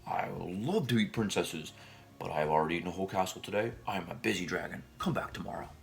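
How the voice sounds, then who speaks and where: A man voicing a big boastful dragon from a storybook, smug and easily flattered, drawing out his words. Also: sits low, fast talker, vocal fry, soft background music. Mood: smug, dismissive.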